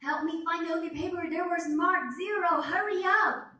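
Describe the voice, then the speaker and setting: A young woman playing a lively, nagging schoolgirl in a student radio play. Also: extremely high pitch, moderate pace. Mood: desperate, urgent. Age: young adult. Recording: echoey room, distant mic.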